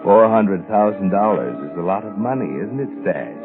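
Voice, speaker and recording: Creepy all-knowing suspense narrator, lowish taunting voice, old radio sound w/ music behind. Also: male voice, lively intonation, moderate pace. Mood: ominous. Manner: mocking second-person address. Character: sinister, taunting omniscient male narrator, ominous and knowing. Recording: muffled 1940s radio recording with music.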